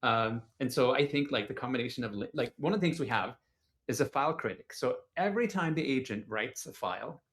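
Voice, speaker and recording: A man who grew up in Norway, a guest on a tech podcast, explaining things in a relaxed way with many fillers. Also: medium pitch, brisk. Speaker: guest engineer. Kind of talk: casual tech podcast chat.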